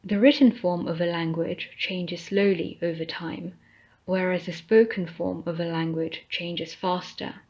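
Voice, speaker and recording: Slow, husky British woman teaching. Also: on the low side, fairly even intonation, a little mellow. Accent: British English. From Britain. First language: English. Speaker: English teacher. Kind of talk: scripted lesson narration. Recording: dull lo-fi recording.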